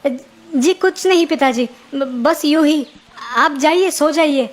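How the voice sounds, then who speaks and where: A woman voicing a farmer's young son in an animated moral tale, eager, crafty and greedy. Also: high-pitched, takes it slowly. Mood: sly.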